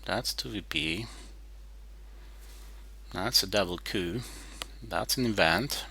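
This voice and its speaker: A man playing a strategy game and thinking aloud in terse, halting remarks, muttering to himself as he weighs his moves. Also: on the low side, expressive, gravelly, pushed and bright, a little hiss or hum. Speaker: board game player.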